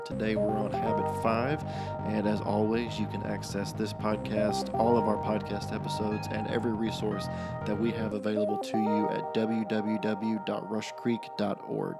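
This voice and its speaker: Host, male, lowish voice, upbeat friendly intro, music bed underneath. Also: monotone, normal speed. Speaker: podcast host. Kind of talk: church podcast hosting.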